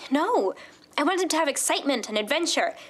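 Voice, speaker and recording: A woman's voice: high-pitched, big pitch swings, fairly quick, a bit bright. A young woman playing a restless small-town mother who longs for adventure, earnest and discontented, in an audio drama. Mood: discontented, wistful. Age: young adult.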